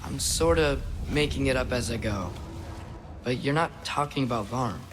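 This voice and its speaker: A man's voice: medium pitch, normal speed, background noise, natural voice. A young man playing an earnest, secretive teenage boy in a video game, casual and a little hesitant. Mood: earnest, uneasy. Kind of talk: naturalistic video game cutscene acting.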